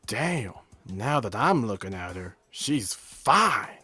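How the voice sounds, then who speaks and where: A man's voice: on the low side, very animated, takes it slowly, sharp tone, breathy edge, full character voice. A man playing a rude, lecherous drunken delinquent in a fan-dubbed visual novel.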